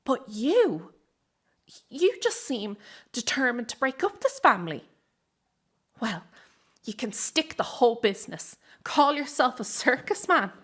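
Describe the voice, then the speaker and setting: A woman acting in a radio drama as a bitter, defiant young circus performer, confrontational, sarcastic and quick to swear. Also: fairly high pitch, big pitch swings, a bit bright, slightly rough, exaggerated voice. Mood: bitter, furious. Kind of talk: dramatic radio play dialogue.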